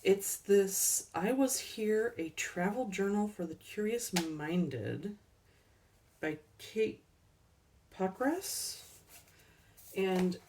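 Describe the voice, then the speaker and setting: A woman casually showing off her thrift store finds, unhurried and chatty with ums and little laughs, her voice a bit worn as she is losing it. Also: medium pitch, lively intonation, warm tone, far from the mic, a little hiss or hum. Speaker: collecting channel host. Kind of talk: casual thrift haul chat.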